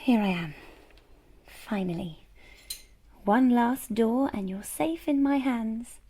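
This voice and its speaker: A woman's voice: a little high, expressive, slow, warm tone, slight background noise. An actress playing a sly, falsely sweet young serving girl with a coy, dry delivery in an audio horror drama. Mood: urgent, scornful.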